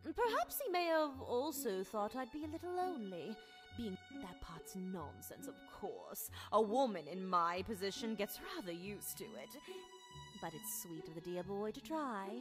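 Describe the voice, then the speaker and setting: A woman's voice: mid-range voice, expressive, moderate pace, close to an everyday voice. A woman playing a genteel, gossipy society widow in a visual novel, with prim, mannered, chatty phrasing. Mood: wistful, chatty. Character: genteel, gossipy society widow, married more than once. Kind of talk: voiced visual novel dialogue. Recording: music behind the voice. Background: game music.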